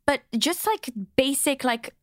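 A woman's voice: high voice, animated, measured pace, no echo, clean studio sound. A young woman from Switzerland chatting casually as a podcast guest, blunt and opinionated, swearing freely. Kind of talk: casual chat.

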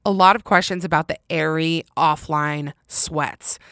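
A woman hosting a podcast, chatting casually and candidly on her own with emphatic delivery and a loud, intense voice. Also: low-pitched, steady tone, measured pace, no room sound, clean studio sound. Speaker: podcast host. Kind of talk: casual solo chat answering listener questions.

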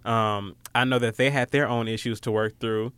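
A young American man with a clear, smooth voice in a very dry recording. He talks informally and thoughtfully, acting out remarks as he goes, in a middle pitch. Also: fairly even intonation, normal speed, a bit bright, no echo. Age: late 20s. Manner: informal, reflective, acts out quoted remarks. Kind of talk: casual conversational talk.

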